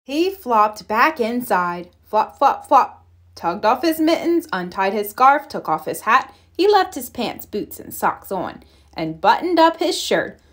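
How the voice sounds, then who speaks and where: A woman reading a children's picture book aloud expressively, deliberate and playful with the sound words. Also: on the high side, big pitch swings, a bit bright. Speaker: read-aloud storyteller.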